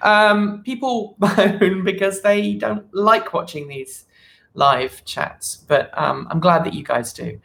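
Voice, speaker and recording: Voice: mid-range voice, takes it slowly, vocal fry, fairly bright tone. A live stream host chatting casually and unscripted, rambling and thinking aloud. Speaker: channel host.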